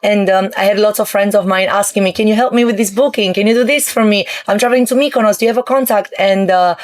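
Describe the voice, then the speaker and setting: A young woman in her twenties, from Romania, talking through her story in an easygoing interview. Her voice sits in a middle pitch, and she fills her pauses with plenty of ums and uhs. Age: 20s. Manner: hesitant, many ums and uhs. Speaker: entrepreneur guest. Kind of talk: casual interview. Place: on board a yacht.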